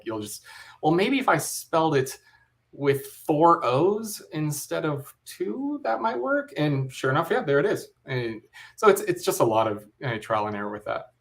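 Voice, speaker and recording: Wry man explaining things casually, mid-pitched. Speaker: audio drama creator, panelist.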